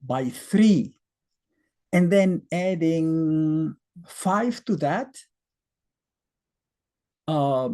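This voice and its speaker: A man's voice: fairly high pitch, lively intonation, extremely slow, pauses often, dark tone. A man in his fifties from Egypt, a native Arabic speaker with an Egyptian accent, teaching a beginner math lesson in a casual, conversational way with rhetorical questions and fillers.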